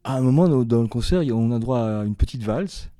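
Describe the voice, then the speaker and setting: Guy interviewer, laid back chatty questions, warm low-key tone, dry close sound. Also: animated, quick pace, no room sound. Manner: casual, chatty, trails off mid-question. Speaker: radio interviewer.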